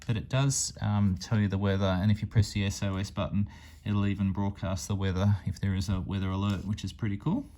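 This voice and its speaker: A man's voice: fairly low pitch, level tone, brisk, mellow. A man giving a casual, plain-spoken hands-on product demonstration as a hobbyist reviewer. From Australia.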